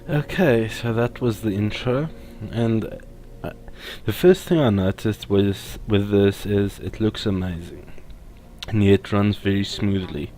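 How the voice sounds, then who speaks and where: Slow, low-voiced gamer man. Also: dark tone, a little hiss or hum. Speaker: let's play host. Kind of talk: casual gameplay commentary.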